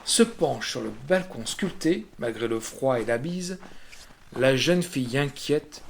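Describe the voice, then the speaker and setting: A man narrating a literary short story in a dramatic, expressive manner. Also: mid-range voice, slight background noise. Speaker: audiobook narrator.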